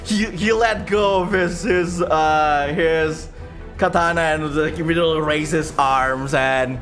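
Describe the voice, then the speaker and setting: Guy game mastering on stream, high voice, slow pace, thinks out loud, halting storytelling, bit of music. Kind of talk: improvised tabletop game narration on a livestream.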